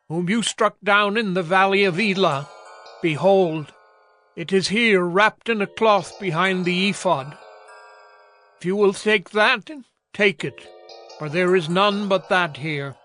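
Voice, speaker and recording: A man's voice: high-pitched, takes it slowly, fairly bright tone, soft background music. A man playing a cautious, anxious temple priest in a dramatized Bible story, choosing words carefully. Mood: careful, solemn.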